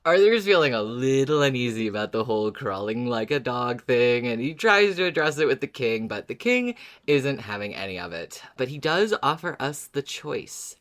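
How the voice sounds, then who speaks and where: A man's voice: medium pitch, very animated, moderate pace, fairly bright tone. Wry man co-hosting a casual podcast. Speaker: podcast co-host. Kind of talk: casual recap and discussion.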